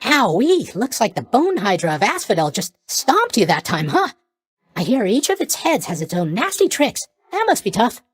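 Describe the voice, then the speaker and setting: A man's voice: very high-pitched, a bit bright, no echo, full character voice. A man voicing a sleepy, scatterbrained young god of sleep in a video game, airy, hesitant and guilelessly blunt. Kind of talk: animated video game character voice lines.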